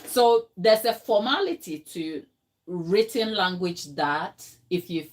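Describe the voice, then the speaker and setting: A woman's voice: fairly low pitch, animated, slow. A Ghanaian woman chatting casually in her home kitchen, telling a story with lots of fillers and asides to her viewers. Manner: chatty storytelling, many fillers, talks to live chat. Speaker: home baker and channel host. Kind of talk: casual chat while working in the kitchen.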